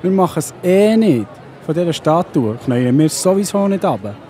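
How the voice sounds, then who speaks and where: A man's voice: a little high, big pitch swings, moderate pace, warm tone, a faint crowd, slight background noise. An actor playing a stubborn, fearless, devout young man in a children's audio play, firm and defiant.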